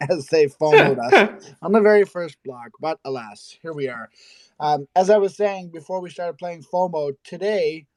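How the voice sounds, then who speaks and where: A man hosting a casual live audio chat, chatty and quick to laugh. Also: fairly high pitch, a little mellow, dry recording. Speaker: host.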